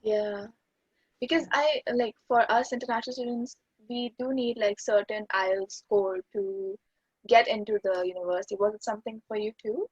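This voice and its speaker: A woman's voice: fairly high pitch, fairly even intonation, moderate pace, slightly rough, no echo. A young woman interviewing a guest, eager and chatty, saying "yeah" and "like" often, over dull lo-fi video call audio. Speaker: interviewer host. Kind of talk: casual interview chat.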